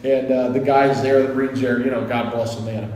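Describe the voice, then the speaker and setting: A man's voice: medium pitch, fast talker, slight background noise. A man in his thirties, originally from Kuwait, telling war stories as a podcast guest and acting out the voices of the people he quotes. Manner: animated storyteller who acts out quotes. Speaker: guest, behavior analysis instructor. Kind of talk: casual podcast storytelling. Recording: echoey room with long reverb.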